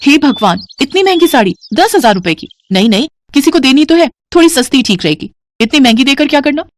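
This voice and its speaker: A woman voicing an eager, naive young daughter-in-law in an animated story, with a higher, chirpier voice. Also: fast, no echo, natural voice. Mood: excited.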